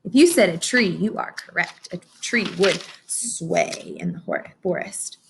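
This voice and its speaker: A woman's voice: on the low side, wildly swooping pitch, a little slow, gravelly, noisy background. A woman teaching young children in an interactive read-aloud lesson, encouraging and instructional, often putting questions to her listeners.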